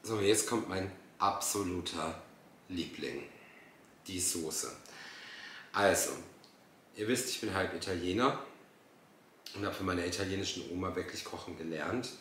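Sarcastic low-voiced man in a roomy space. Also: a little slow, pauses often, a bit bright, slight background noise. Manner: exasperated, sarcastic. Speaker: host reacting to a TV dinner show. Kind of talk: casual reaction commentary.

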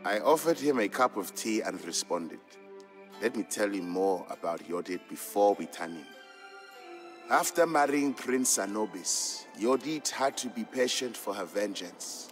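An actor playing an Ethiopian trader and father, narrating old legends in a measured, fond storybook style for a game. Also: lowish voice, animated. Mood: gentle, fatherly. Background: background music.

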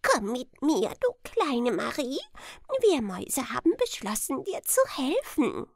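Storybook mouse, acted by a woman: small, squeaky, high and lively, eager helper. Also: unhurried, no room sound, studio quality, full character voice. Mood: kindly, eager.

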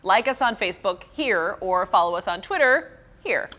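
A woman's voice: medium pitch, animated, normal speed, a bit bright. A woman in her thirties presenting a scripted promotional message as an entertainment TV host.

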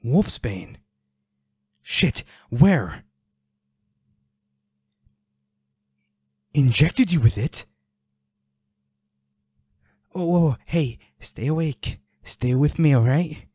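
A man's voice: medium pitch, lively intonation, slow pace, pauses often, dark tone, slightly rough, no room sound. A man playing a protective, worried boyfriend in an intimate audio roleplay, speaking in a pleading, soothing, urgent way. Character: protective, worried boyfriend tending his injured werewolf partner.

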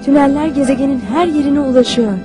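Woman voicing high-voiced, composed young princess. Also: steady tone, over music, close to an everyday voice. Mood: serious, focused. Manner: measured, decisive. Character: composed, commanding young female squirrel princess. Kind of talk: cartoon dub acting.

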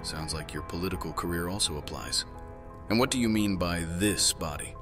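An actor playing a wry, teasing Roman army commander in a fantasy audio drama, bantering and skeptical. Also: male voice, sits low, a little fast, music in the background, natural voice.

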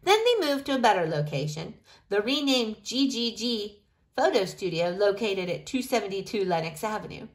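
A woman reading a picture book aloud in an expressive, deliberate storytelling style. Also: mid-range voice. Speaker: reader of a children's picture book.

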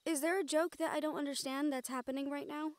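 Woman acting high-voiced, exasperated, caring siren. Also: fairly even intonation, quick pace, a little mellow, no room sound, natural voice. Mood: puzzled, patient. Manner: sighing, earnest, drops in Spanish endearments. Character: female siren-banshee, exasperated but caring partner and team mate. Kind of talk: audio roleplay acting.

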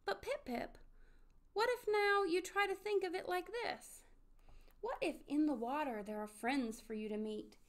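A woman as a tender, high-voiced storybook mommy. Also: a little slow. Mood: gentle, reassuring. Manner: soothing, encouraging, tender. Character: gentle, reassuring storybook mother of a little one.

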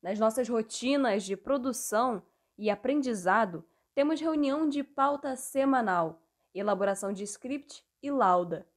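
A young woman delivers a scripted presentation with a slightly high voice. She reads in a measured, deliberate way, like a student reporter. The sound is picked up close by a small wired earphone mic. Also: expressive. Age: young adult.

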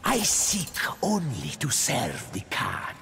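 An actor playing a sly, servile, creepy schemer in a video game, with a creaky voice. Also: male voice, mid-range voice, huge pitch swings, takes it slowly, full character voice. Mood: insinuating. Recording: reverberant, noisy game ambience.

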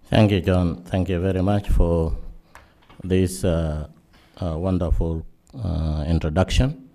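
A middle-aged man with a Sudanese accent, giving formal panel remarks in a halting, earnest way with many fillers, speaking into a table mic in a conference room. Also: deep voice, little pitch movement, dark tone, slight background noise.

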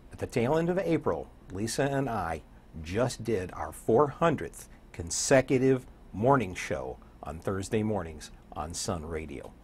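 An older man talking conversationally as an interview guest, a radio DJ with a husky voice. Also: sits low. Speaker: guest, radio program director and morning show DJ. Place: indoor TV talk show studio.

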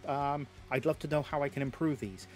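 Slightly hoarse, rambling Geordie man. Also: medium pitch, steady tone, fairly quick, faint music behind, low-quality recording. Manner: rambling, self-deprecating, lots of filler words. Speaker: YouTube host. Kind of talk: casual battle report narration. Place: home games room.

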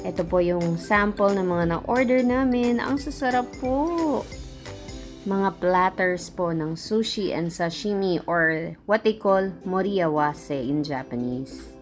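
A woman's voice: on the low side, expressive, a little slow, soft background music. A middle-aged Filipino-accented woman narrating a food vlog in a casual, presenting style. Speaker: food vlogger.

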